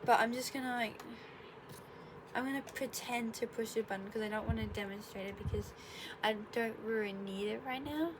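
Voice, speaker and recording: A woman's voice: fairly high pitch, moderate pace. Congested young woman rambling about her day. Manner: rambling, self-correcting, sarcastic asides. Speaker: vlogger. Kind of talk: casual explainer vlog. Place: her bedroom. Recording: noticeable room noise.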